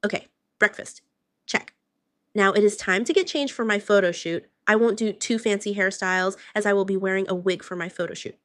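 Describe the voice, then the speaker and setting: A woman's voice: mid-range voice, fairly quick, fairly bright tone, soft background music. A woman vlogger narrating a roleplay morning-routine video, chatting directly to her viewers. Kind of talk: voiced roleplay vlog narration.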